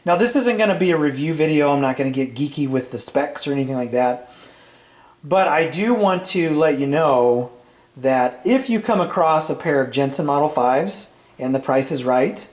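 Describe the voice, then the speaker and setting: A man's voice: on the high side, animated, darkish tone. Middle-aged man rambling in an echoey room. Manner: rambling, halting, hesitant phrasing. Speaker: vintage audio repair channel host. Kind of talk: casual unscripted product chat. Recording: roomy, echoey sound.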